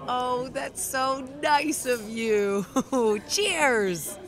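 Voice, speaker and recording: A woman's voice: high voice, drawn-out words, heavily acted. A woman playing a curious, chatty young woman in an amateur audio drama, speaking in an inquisitive, conversational way. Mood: cheerful.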